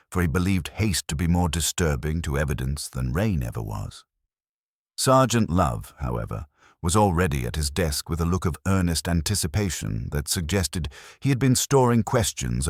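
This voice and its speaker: A man's voice: a bass voice, no room sound, studio quality. A man reading a literary mystery story as scripted narration. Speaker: audiobook narrator.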